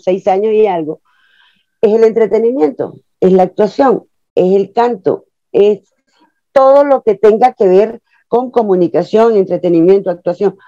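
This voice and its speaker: A woman's voice: medium pitch, no echo. A woman in her sixties from Venezuela, an actress chatting expressively as a guest over a video call from a small room at home. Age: 60s. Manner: expressive, animated storyteller with lots of hand gestures. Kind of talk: casual interview chat. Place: small room at home, plain wall behind. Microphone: earphone mic on a video call. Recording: dull, lo-fi video call audio.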